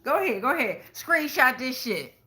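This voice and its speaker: A woman's voice: high voice, sing-song, moderate pace, pushed and bright. An American woman hosting a casual tarot reading for viewers, speaking in a blunt, slangy way. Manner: blunt, slangy, freely swearing. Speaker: tarot reader and show host.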